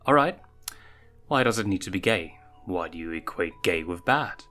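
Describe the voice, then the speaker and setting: Man voicing a firm, motherly lioness, lively pitch. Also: faint music behind. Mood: stern, probing. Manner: measured, probing questions, firm. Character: calm, motherly adult lioness huntress, teasing and firm. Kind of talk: storybook character reading.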